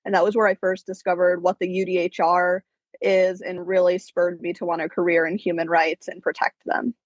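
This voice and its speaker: A woman's voice: on the low side, little pitch movement, normal speed, dry recording. Earnest young woman on dull, lo-fi audio. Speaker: podcast guest, human rights education fellow. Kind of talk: personal interview answer.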